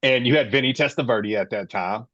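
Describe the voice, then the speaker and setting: A man's voice: mid-range voice, a little fast, pushed and bright, dry recording, dull audio. A man in his late forties from Florida, hosting a casual sports podcast and telling his own story with passion. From Florida, USA. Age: 40s. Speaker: podcast host.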